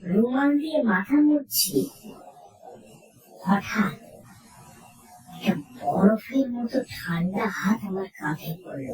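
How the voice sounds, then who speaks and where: Female horror storyteller, highly expressive and suspenseful, unhurried, roomy sound. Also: a crowd in the background. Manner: suspenseful, theatrical, with dramatic pauses. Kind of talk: dramatic first-person horror narration. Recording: roomy echo, distant from mic.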